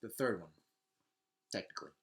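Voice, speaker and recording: A man's voice: a little fast, crowd noise. A man hosting a casual podcast, talking in an opinionated, emphatic way, repeating himself and swearing casually. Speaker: podcast host. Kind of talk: casual podcast chat about movies and games.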